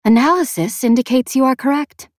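Sci-fi audiobook AI woman performing: feminine, emotionless, raised pitch, literal and clipped. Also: a little fast, no room sound, clean studio sound, lightly acted. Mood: detached. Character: emotionless feminine AI inside a floating metal sphere.

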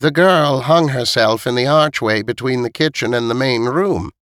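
Male narrator as a stern elderly woman, raised pitch, blunt, knowing, audiobook character, close dry sound. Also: normal speed, fairly bright tone, no room sound, lightly acted. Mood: grave, matter-of-fact.

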